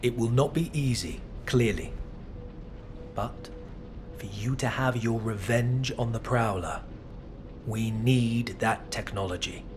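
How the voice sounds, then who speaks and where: An actor playing an intense, scheming alien scholar in a video game, speaking in a formal, deliberate, theatrical way. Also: male voice, medium pitch, music in the background, close to an everyday voice. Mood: measured, eager.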